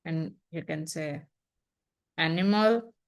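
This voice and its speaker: A young woman teaching programming in an unhurried, think-aloud way, pausing and restarting as she types examples. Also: low-pitched. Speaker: programming instructor. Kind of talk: screen-recorded coding tutorial.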